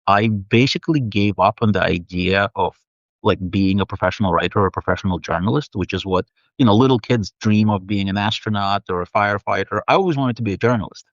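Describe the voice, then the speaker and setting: A man's voice: fairly low pitch, quick pace, dry recording, muffled recording. A man whose first language is Russian, from the Soviet Union, a guest chatting thoughtfully on a video call with many fillers and restarts. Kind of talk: casual interview chat.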